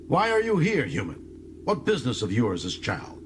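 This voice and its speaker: A man's voice: medium pitch, wildly swooping pitch, moderate pace, background noise, pitched above the performer's own voice. An actor playing a bitter, proud young male alien prisoner in an audiobook, speaking with dramatic intensity and contempt. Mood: scornful, proud.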